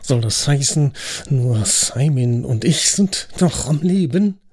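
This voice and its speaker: Man doing a slow, timid gentle man. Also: medium pitch, expressive, voice pushed up. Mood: worried. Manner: slow, soft and worried.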